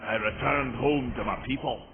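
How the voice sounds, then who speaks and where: A man voicing a weary old male warrior chieftain who tells his tale in a solemn, heavy-sighing way, with a gravelly voice. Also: medium pitch, fairly even intonation, normal speed, noisy background, natural voice. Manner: solemn storytelling, heavy sighs. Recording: tinny lo-fi game audio with music.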